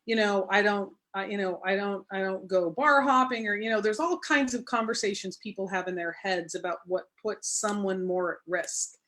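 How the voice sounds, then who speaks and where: A woman's voice: medium pitch, steady tone, moderate pace, hardly any pauses, fairly bright tone. A woman hosting a live online interview, asking rambling, encouraging questions. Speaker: host of a live stream.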